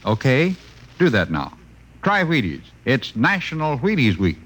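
A man giving a plain-spoken, wry vintage radio sponsor pitch. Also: huge pitch swings, a little slow, heavy background noise. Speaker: sponsor pitchman, announcer's partner. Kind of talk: scripted 1950s radio ad banter.

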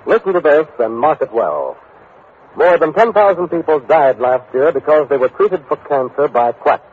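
An American man announces on 1950s radio with formal, earnest emphasis. His voice is husky and swings expressively. The recording is thin and phone-like. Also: fairly high pitch.

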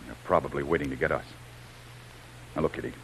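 An actor playing a resolute, commanding adventurer in a vintage radio serial, with firm, declamatory delivery. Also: male voice, rumbling low, steady tone, quick pace, poor audio. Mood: cautious, commanding. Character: Jim, resolute heroic adventurer, commanding and brave. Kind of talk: 1930s-40s radio adventure acting. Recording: hissy old radio recording.

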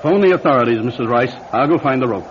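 A man's voice: medium pitch, lively intonation, fast, background noise, natural voice. An actor playing a practical, take-charge man with a wry, matter-of-fact manner in an old radio mystery. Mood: resolute. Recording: dull old radio recording.

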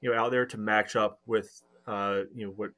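A man's voice: on the low side, level tone, normal speed, fairly bright tone. A man hosting a soccer podcast, asking rambling questions full of fillers. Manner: rambling, many "you know" fillers. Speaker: podcast host. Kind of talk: casual interview questions.

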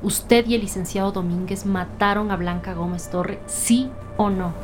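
A woman playing a firm, authoritative special agent who questions formally and deliberately in a crime audio drama. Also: mid-range voice, fairly even intonation, over music, lightly acted. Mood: commanding.

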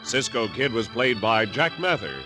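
A man's voice: mid-range voice, lively intonation, normal speed. A man announcing in dramatic old-time radio sign-off style. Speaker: show announcer. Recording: vintage radio, loud organ music. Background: organ music.

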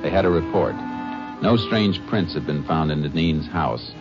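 An actor playing a determined Texas Ranger investigator in a radio drama, speaking and narrating in a clipped, matter-of-fact way. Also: male voice, rumbling low, normal speed, over music. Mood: businesslike. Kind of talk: 1950s radio drama acting with first-person narration. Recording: noisy, muffled 1950s radio recording.

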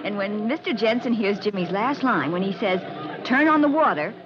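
An actress playing a polite, anxious schoolteacher in an old-time radio drama, earnest and genteel. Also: female voice, medium pitch, lively intonation, moderate pace, lightly acted. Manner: genteel, earnest, prone to fretting. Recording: muffled old radio broadcast, crowd noise.

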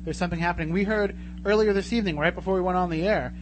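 Male radio host, slightly high voice, chatty and jokey, noisy live broadcast with hum. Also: racing. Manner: joking, bantering. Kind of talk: casual live radio talk. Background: clear noise and hum.

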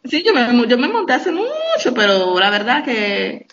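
A woman with a Dominican accent, a guest on a podcast, chatting casually, animated and quick to laugh. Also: on the high side. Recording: dull lo-fi sound with faint noise.

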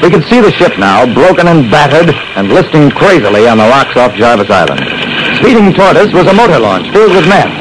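A man's voice: a little high, big pitch swings, fairly quick. An actor playing a male investigator who narrates his own adventure in a theatrical, clipped classic radio style. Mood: suspenseful. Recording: noisy old radio broadcast.

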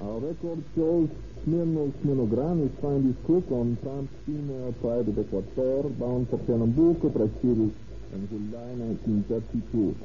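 A man's voice: mid-range voice, moderate pace, close to an everyday voice. Actor as male foreign official, formal, clipped message reading, tinny vintage radio drama, some hiss. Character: foreign port captain and police chief replying by cable. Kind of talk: old-time radio drama acting. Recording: tinny, noisy old radio broadcast.

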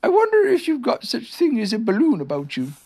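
Reader doing a silly little storybook bear, way up high, earnest n halting, swoopy pitch. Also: wildly swooping pitch, a little mellow. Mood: hopeful. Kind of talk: children's storybook character voice.

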